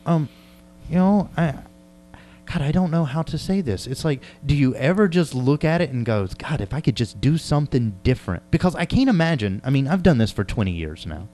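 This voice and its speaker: A man's voice: fairly high pitch, expressive, fairly quick, dark tone. A man hosting a radio interview in casual chat, informal and often stammering as he feels his way into questions. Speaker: radio show host interviewing a guest.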